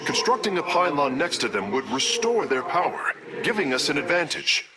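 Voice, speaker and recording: A man playing an earnest, deferential alien engineer in a video game, speaking in a formal, measured, technical way. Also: on the high side, sing-song, background noise. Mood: focused, informative. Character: earnest, deferential male alien engineer serving his commander. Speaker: engineer and battle advisor.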